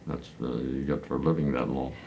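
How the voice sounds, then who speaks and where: A man in his nineties from Pennsylvania, recalling his church childhood in slow, halting answers full of hesitant fillers. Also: a bass voice, steady tone, vocal fry, warm tone, a little hiss or hum. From Pennsylvania, United States. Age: 90s. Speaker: elder congregant interviewee. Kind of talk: reminiscing interview answers.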